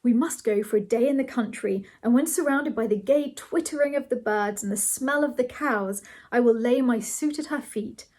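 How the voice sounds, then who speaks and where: A woman voicing a hearty, fervent, pompous gentleman in a comic storybook, with emphatic delivery. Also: fairly high pitch, normal speed, few pauses, lightly acted. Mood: resolved, romantic. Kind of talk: comic storybook character reading.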